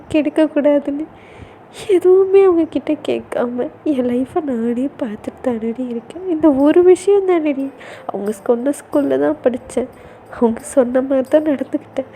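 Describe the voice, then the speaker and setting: A woman's voice: high-pitched, dark tone, a little hiss or hum. A woman voicing a despairing young woman who pleads tearfully to be taken away from home.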